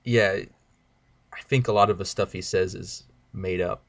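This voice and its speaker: A man from Germany whose first language is not English, speaking in a low voice as he patiently lays out ideas with many pauses. The recording is dry and close. He sounds earnest and steady. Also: no echo. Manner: earnest, explains at length with hesitations. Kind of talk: casual chat.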